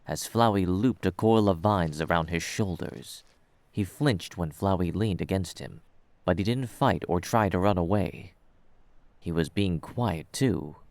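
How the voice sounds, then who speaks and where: A man's voice: low-pitched, no echo. A man reading scripted third-person story narration as the narrator of an audio drama.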